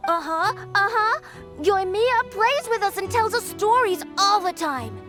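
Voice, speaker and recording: A woman's voice: extremely high pitch, animated, unhurried. A woman playing a small, eager and trusting village child in a video game. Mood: eager, insistent. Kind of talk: video game character dialogue. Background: music.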